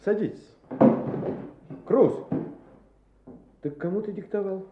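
A man's voice: sits high, slow, very noisy. A man playing an authoritative, indulgent official in a Soviet radio play. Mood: stern, amused. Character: authoritative adult official or school director, indulgent. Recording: tinny, echoey old radio play recording.

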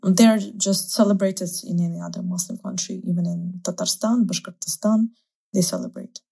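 A woman's voice: lowish voice, fairly even intonation, moderate pace, vocal fry, dark tone, breathy edge. A young woman from Azerbaijan whose first language is Russian, chatting casually as an interviewee and laughing often.

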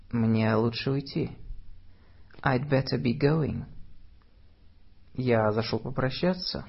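Slow gravelly man reading phrases. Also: mid-range voice, muffled recording. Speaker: language teacher. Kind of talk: reading phrasebook lines in Russian and English.

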